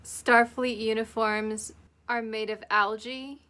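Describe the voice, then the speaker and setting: A woman making wry, incredulous comments while watching an animated series. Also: a little high, slow. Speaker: reaction video host. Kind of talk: casual reaction commentary.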